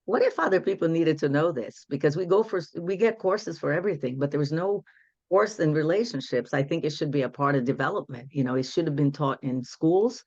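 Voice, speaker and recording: A woman's voice: deep voice, a little fast, darkish tone, dry recording, dull audio. A woman in her sixties from Brazil, speaking English as a guest coach in an earnest, reflective way. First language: Portuguese. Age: 60s. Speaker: guest, women's empowerment coach. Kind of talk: casual interview storytelling.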